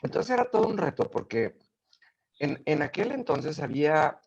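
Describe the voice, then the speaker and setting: A middle-aged man speaking as a guest in a relaxed conversation. His voice is gravelly and slightly husky. He tells stories with lively energy and often stops to restart a phrase. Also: a little high, moderate pace, muffled recording. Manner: animated storyteller, many fillers and restarts. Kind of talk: casual interview conversation.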